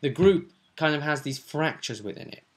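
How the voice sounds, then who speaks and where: A man's voice: on the high side, expressive, normal speed. A man chatting casually as a game master, recapping a tabletop role-play session and acting out bits as he goes.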